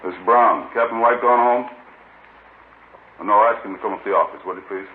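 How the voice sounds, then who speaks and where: An American man playing a brisk, businesslike chief of detectives in a 1950s radio crime drama, curt and commanding. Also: lowish voice, lightly acted. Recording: muffled, noisy old radio recording.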